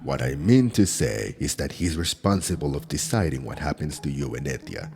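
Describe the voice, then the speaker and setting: A man playing a stern male demon enforcer who is gruff but protective, speaking in a measured, scolding way in an ASMR audio roleplay. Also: animated, a little mellow, exaggerated voice. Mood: gentle. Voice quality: deep gravelly husky voice. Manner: scolding, measured, low-key delivery.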